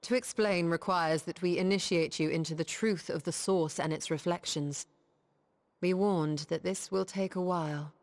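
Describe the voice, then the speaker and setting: A woman's voice: fairly low pitch, fairly even intonation, moderate pace, a little mellow, no room sound, lightly acted. A woman playing a composed, knowledgeable scholar-adventurer in a video game cutscene, speaking formally and articulately. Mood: calm, pointed. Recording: background music under the voice.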